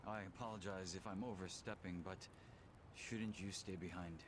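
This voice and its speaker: A man's voice: deep voice, steady tone, normal speed, close to an everyday voice. An actor playing a capable, deferential right-hand man in a video game, polite, formal and reserved. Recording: noisy ambience behind the voice.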